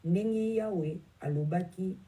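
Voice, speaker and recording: A woman reading a Bible passage aloud in a slow, measured way, now and then correcting herself. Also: lowish voice, mellow, poor audio. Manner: measured, careful reading with small self-corrections. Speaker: scripture reader.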